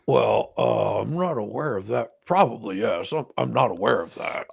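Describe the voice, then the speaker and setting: Gravelly man putting on a dithering, evasive witness. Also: mid-range voice, expressive, normal speed, full character voice. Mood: flustered, dithering. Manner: hesitant, stammering, dithering. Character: evasive, bumbling male lawyer testifying as deposition witness. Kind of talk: comic dramatic reading of a deposition transcript.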